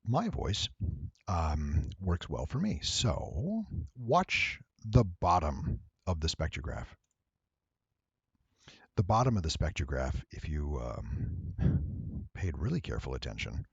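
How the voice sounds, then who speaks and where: A man giving a casual, unscripted software tutorial in a deep, husky voice, with wry, playful asides. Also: big pitch swings, takes it slowly, long pauses, no echo, dull audio.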